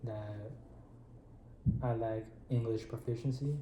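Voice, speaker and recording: A man's voice: fairly low pitch, fairly even intonation, takes it slowly, mellow, a little hiss or hum. A man in his twenties with a Spanish accent, a native Spanish speaker, answering politely and briefly as a client in a role-played counseling session. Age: 20s. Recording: roomy echo.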